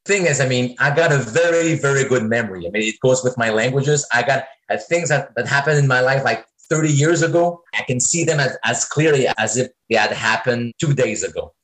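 A man in his forties with a French-speaking North American accent answers interview questions as a guest. His voice sits at a medium pitch. He rambles loosely and keeps reaching for "I mean" between thoughts. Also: brisk, hardly any pauses, fairly bright tone, rough recording. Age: 40s. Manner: rambling, leans on filler "I mean". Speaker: singer-songwriter guest.

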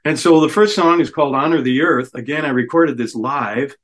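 A man's voice: fairly high pitch, fairly quick, fairly bright tone. A middle-aged man, a singer and guitarist, chatting easily as a guest in a radio interview and laughing readily. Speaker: musician guest. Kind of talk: casual radio interview chat.

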